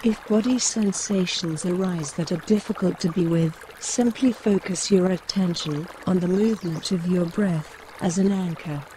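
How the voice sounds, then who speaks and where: A woman guiding a mindfulness body scan, giving gentle, measured instructions with pauses between phrases. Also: lowish voice, slight background noise. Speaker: meditation guide. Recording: running stream water behind voice. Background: trickling woodland stream.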